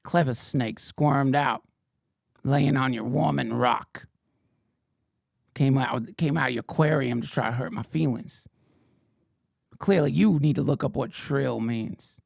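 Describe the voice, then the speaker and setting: A middle-aged man hosting a comedic stream, riffing in a mock-indignant persona that swings from whispers to shouts. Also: medium pitch, unhurried, creaky, pauses often, no echo, studio quality. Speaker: podcast host reading chat questions.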